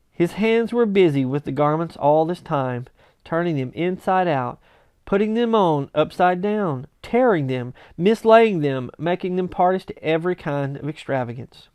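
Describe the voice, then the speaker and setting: A man reading a classic story aloud as narrator, with expressive, theatrical and emphatic delivery. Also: a little high, normal speed, clear, resonant tone, no room sound. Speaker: narrator, audiobook reader.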